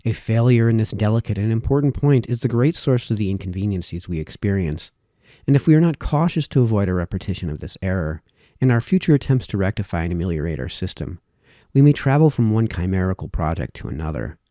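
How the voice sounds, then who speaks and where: Low, expressive male reader. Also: fast talker, no echo, studio quality. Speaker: reader of historical essays. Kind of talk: reading formal prose aloud.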